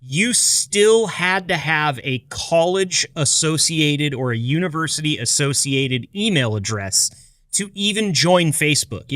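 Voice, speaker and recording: A man's voice: on the high side, a bit bright, no echo, clean studio sound. An American man, around his thirties, hosting a podcast in a casual, chatty style with upbeat, playful patter. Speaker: podcast host. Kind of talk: casual, chatty scripted-loose podcast intro.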